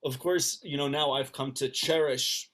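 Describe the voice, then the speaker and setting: A man's voice: medium pitch, fairly even intonation, a little slow, fairly bright tone. An American man, around his thirties, gives an informal, personal talk over a video call. He speaks as a rabbi and author, feeling his way through sentences and often doubling back to repeat or restart a phrase. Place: indoors at home on a video call.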